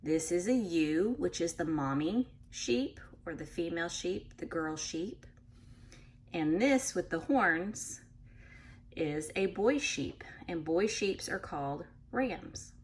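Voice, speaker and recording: Woman, children's storyteller, lowish pitch, unhurried pace, expressive picture-book reading, simple patient explanations. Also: slight background noise. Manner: animated, acts out animal sounds, addresses young listeners. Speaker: read-aloud storyteller for children.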